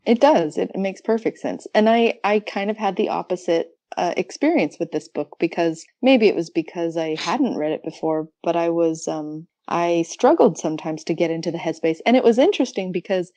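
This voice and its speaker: Mellow-voiced young woman, dry close sound. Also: lowish voice, animated, moderate pace, few pauses, no echo. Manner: reflective, searching for words. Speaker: podcast guest. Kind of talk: casual literary discussion.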